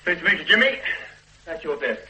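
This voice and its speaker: A jaded, wry police sergeant. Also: male voice, a little high, very animated, fairly quick, background noise. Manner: wry, grumbling, matey. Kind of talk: radio drama acting. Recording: roomy radio drama recording.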